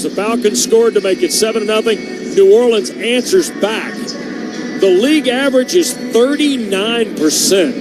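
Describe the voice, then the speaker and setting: An American man works as a play-by-play announcer, calling live football in a fairly high voice. Loud stadium sound runs behind the broadcast. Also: poor audio.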